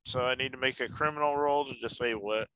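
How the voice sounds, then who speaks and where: A man's voice: medium pitch, fairly even intonation, fast, sharp tone, clean tone, dry recording. A man playing a tabletop game, talking casually, on a slightly dull recording.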